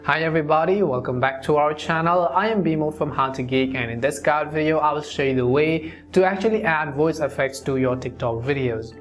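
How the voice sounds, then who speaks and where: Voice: mid-range voice, brisk, few pauses. Tutorial host narrating over music. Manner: wordy step-by-step instructional delivery. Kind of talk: scripted how-to tutorial narration. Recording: music behind the voice. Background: background music.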